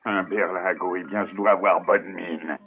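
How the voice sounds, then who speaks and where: An actor voicing a fussy, easily exasperated older neighbor in a French cartoon dub, groaning and pleading. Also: male voice, sits low, fairly even intonation, normal speed, dull audio. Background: cartoon music score.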